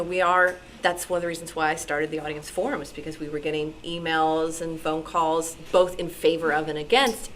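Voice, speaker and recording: Low-voiced woman explaining, noisy recording. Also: a bit bright. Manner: informal, hedging, conversational. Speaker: radio programmer on a club panel. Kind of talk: explanatory talk to an audience. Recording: noisy live meeting room recording.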